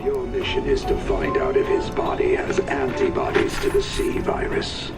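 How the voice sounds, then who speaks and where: An actor playing a scheming, commanding villain who gives mission orders in a video game. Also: male voice, mid-range voice, wildly swooping pitch, normal speed, creaky. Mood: smooth menace. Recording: game audio under loud music. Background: loud game music.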